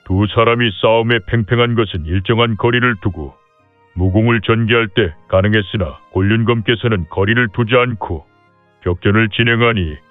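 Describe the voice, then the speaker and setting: A man's voice: lowish voice, no echo, pitched below the performer's own voice, lightly acted. A man voicing an old, shrewd Buddhist temple abbot who mutters and chuckles while watching a duel in an audio drama. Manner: chuckling "heh heh", muttering asides. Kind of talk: audio drama character acting. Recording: tinny phone-like dry sound, faint music.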